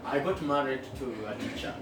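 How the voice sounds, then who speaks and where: A middle-aged man with a Kenyan accent tells an interviewer about founding a school, speaking earnestly and without hurry. He pauses on fillers and restarts his sentences as he goes. His voice sits at an ordinary pitch, heard from some distance in a strongly echoing room with clear background noise. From western Kenya. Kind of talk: interview answers, storytelling. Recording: echoey room, far from the mic, with noticeable background noise.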